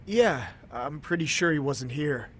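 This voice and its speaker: An actor playing a hesitant, impressed informant in a video game, talking casually. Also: male voice, a little high, animated, measured pace, slight background noise. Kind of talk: naturalistic video game cutscene acting.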